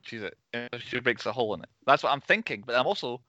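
A chatty Scottish man who runs the podcast, rattling through his points at a brisk clip. His voice is bright and forceful, recorded dry with a slightly dull, lo-fi tone. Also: mid-range voice, expressive, no echo. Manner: quick, chatty, laughs often. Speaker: podcast host. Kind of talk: casual chat.

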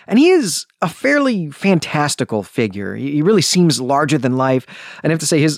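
A man's voice: mid-range voice, dry recording, studio quality. A man hosting a podcast, giving an informal but prepared talk in an enthusiastic, bookish and emphatic manner. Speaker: podcast host.

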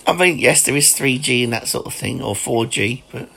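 Gravelly, husky man in his forties. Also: medium pitch, expressive, normal speed, pushed and bright. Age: 40s. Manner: chatty, laughs often. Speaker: podcast host. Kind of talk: casual chat.

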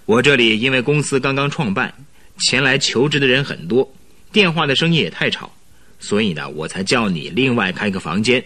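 Scheming company boss, radio drama, forceful, husky, patronizing, animated intonation. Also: male voice, medium pitch, fairly quick. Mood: jovial, persuasive. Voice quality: husky, robust. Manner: patronizing, persuasive, chuckling. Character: hearty, scheming company director, loud and full of energy. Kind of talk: Mandarin radio drama acting.

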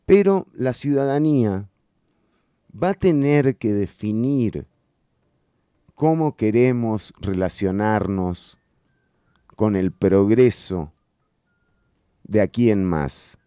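A man's voice: fairly low pitch, lively intonation, slow pace, pauses often, warm tone, clear, resonant tone, dry recording, clean studio sound. A man hosting a radio show, giving emphatic political opinion commentary and repeating key phrases for stress. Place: radio studio.

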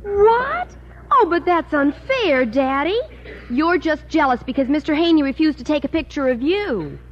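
A woman's voice: high-pitched, lively intonation, normal speed. A sassy teenage girl. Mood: teasing. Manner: sassy, teasing. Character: pert teenage girl, the principal's daughter. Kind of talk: 1950s radio sitcom acting. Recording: muffled old radio broadcast with hiss.

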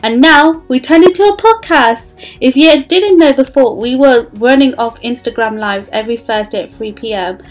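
A woman with a slightly high voice speaks as a podcast host. She addresses listeners and guests in the manner of an interview show. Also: normal speed, hardly any pauses, soft background music.

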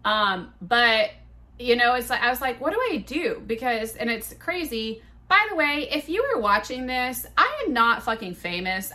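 Bright, chatty woman podcast host. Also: on the high side. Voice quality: big voice. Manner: chatty, profane and self-mocking, full of "like" and quoted dialogue. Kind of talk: casual solo podcast storytelling.

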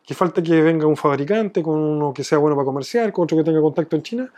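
A man's voice: on the high side, fast, mellow. A man from Chile with a Chilean accent, explaining things from his own experience in a conversational interview. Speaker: business owner being interviewed.

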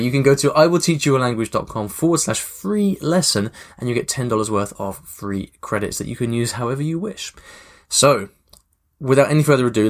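Male podcast host, easygoing chat, mid-pitched voice, lots of ums. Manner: relaxed, chatty, many fillers.

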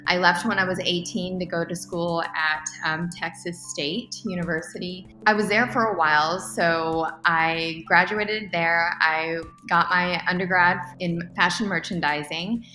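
A young woman talks through her answers as a podcast guest, upbeat and a little rambling, with plenty of fillers. Her voice sits a touch low but has a bright, forceful edge. The room around her rings with echo, and faint music plays underneath. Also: steady tone, unhurried, hardly any pauses. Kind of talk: casual interview answers.

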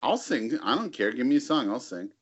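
A man's voice: medium pitch, a little fast, slightly rough, lo-fi sound. A man who is a guest on a podcast, talking bluntly and crudely in casual banter. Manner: blunt, profane, animated.

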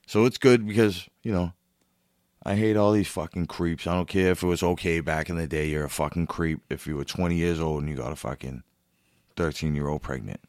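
A podcast host with a deep, low voice talks in a blunt, casual way and swears freely. His sound is dry and close, with no room echo. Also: fairly quick. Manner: blunt, swears a lot, animated. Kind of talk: casual profane chat.

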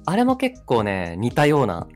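Young man, lively intonation, casual chat. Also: mid-range voice, normal speed, soft background music. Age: young adult. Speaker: radio show co-host.

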